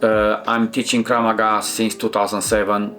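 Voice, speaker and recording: A middle-aged man from Romania with a Romanian accent, a Krav Maga instructor reacting to fight scenes in halting, restarting English. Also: fairly low pitch, completely flat delivery, a bit bright, roomy sound, soft background music. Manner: halting non-native phrasing with many restarts.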